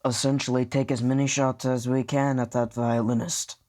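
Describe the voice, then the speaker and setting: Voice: mid-range voice, level tone, moderate pace, darkish tone. A player in a tabletop roleplaying podcast, talking casually and informally with some swearing. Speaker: player in an actual-play podcast.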